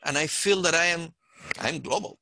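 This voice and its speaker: A man from Chile with a Chilean Spanish accent, speaking thoughtfully on a panel and pausing to find his words. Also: a little high, gravelly, bright, forceful tone, a little hiss or hum. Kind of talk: panel discussion.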